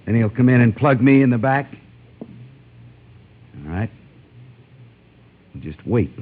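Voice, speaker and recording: A man's voice: medium pitch, animated, takes it slowly, slight background noise. An actor playing a vengeful, menacing Western gunman with a folksy drawl in an old radio drama. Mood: threatening. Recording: muffled vintage radio recording, phone-like.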